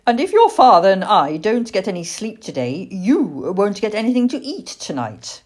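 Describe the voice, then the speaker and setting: A woman voicing a patient but weary mother barn owl in a storybook, brisk, coaxing and motherly. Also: medium pitch, sing-song, a little mellow, pitched above the performer's own voice. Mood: weary, firm.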